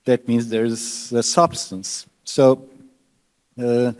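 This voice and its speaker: Man, fund manager panelist, mid pitch, earnest explanations, long hall reverb. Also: little pitch movement, takes it slowly, a little mellow. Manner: earnest, explanatory, slightly non-native phrasing. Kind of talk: panel discussion.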